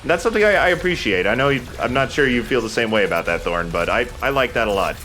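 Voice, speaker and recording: A man's voice: medium pitch, lively intonation, fast talker, bright, forceful tone, faint music behind, rough recording. A man hosting a let's play, chatting casually and agreeably about the game as he goes. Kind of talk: casual gameplay commentary.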